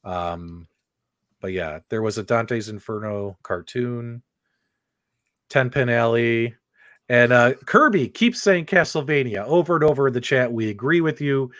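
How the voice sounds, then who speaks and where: A man co-hosting a casual panel chat, talking with eager enthusiasm and big swings in pitch. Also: animated, measured pace, a bit bright, dry recording, clean studio sound. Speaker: co-host.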